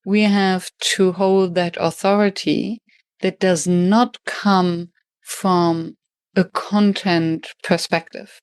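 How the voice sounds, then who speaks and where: A woman with a German accent hosts the conversation in a slightly low voice, recorded dry and close. She thinks aloud quickly and restarts her phrases along the way. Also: fairly even intonation, smooth, no echo. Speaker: podcast host and facilitation trainer. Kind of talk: conversational interview.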